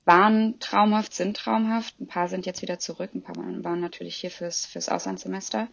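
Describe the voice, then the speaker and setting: Young woman with a gravelly lo-fi voice. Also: fairly low pitch, level tone, brisk, no room sound. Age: 20s. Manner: chatty, many fillers and restarts. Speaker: interviewee. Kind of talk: casual interview chat. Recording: dull lo-fi dry sound.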